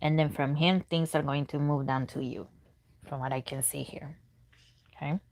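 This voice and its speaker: Woman, native Spanish speaker, casual tarot reader, low voice, muses aloud while pulling cards. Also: fairly even intonation, normal speed, a little mellow. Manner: chatty, thinking aloud, murmurs while reading cards. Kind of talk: live tarot reading, answering chat questions.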